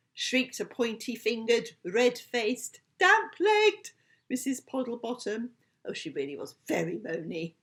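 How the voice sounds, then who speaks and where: A woman reading a children's story aloud in an expressive, playful storytelling manner. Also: mid-range voice. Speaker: author reading her book.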